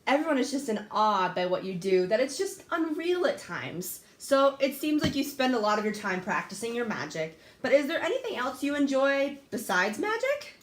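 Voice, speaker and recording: Lively young woman hosting a mock talk show. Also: high-pitched, normal speed, few pauses. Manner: upbeat, reads questions from a script. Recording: echoey room.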